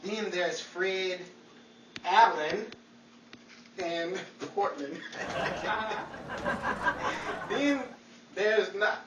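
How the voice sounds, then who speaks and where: A performer playing Henry, a bumbling, good-humored male 1950s radio sidekick with a halting, stumbling delivery. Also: medium pitch. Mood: halting, jovial. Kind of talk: imitation old-time radio banter. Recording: echoey, distant mic, crowd and loud noise.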